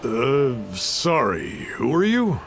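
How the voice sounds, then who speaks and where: Touchy game townsman, medium pitch, very animated intonation, suspicious and quick to take offence. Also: noisy background, heavily acted. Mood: wary. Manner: hesitant, turning indignant. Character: wary, easily offended townsman. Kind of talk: video game voice acting. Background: music.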